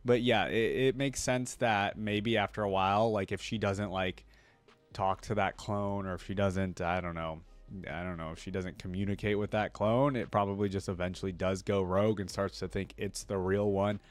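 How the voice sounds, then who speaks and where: A man's voice: on the low side, steady tone, normal speed, no room sound. A man hosting a reaction video, who talks casually and enthusiastically, rambling with lots of fillers.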